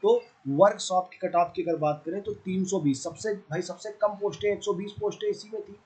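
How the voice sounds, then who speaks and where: A man's voice: high-pitched, a little fast, dark tone, poor audio. A man with a North Indian accent giving an informal, conversational coaching lesson, often checking in with his listeners. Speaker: exam coaching teacher.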